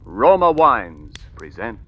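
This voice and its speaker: A man's voice: mid-range voice, sing-song, slow pace. A man announcing a sponsor on 1940s radio with a polished, emphatic sales delivery. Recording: dull vintage 1940s radio recording.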